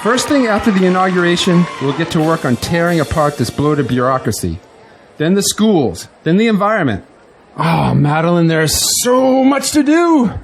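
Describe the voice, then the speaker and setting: An actor playing an exuberant, grandiose newly elected politician in an audio drama, with an excitable, speechifying manner. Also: male voice, high-pitched, moderate pace. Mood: elated.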